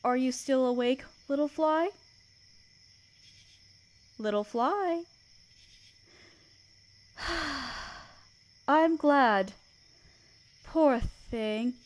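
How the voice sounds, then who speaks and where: A woman playing a gentle, motherly, regal spider queen in a comforting roleplay audio drama, speaking in a soft, deliberate, soothing way. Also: high voice. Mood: soothing, tender.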